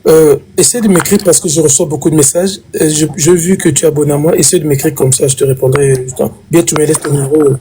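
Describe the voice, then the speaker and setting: A man hosting a live chat, thanking callers and signing off with them in a quick, courteous way. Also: a little high, steady tone, slight background noise. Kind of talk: casual chat.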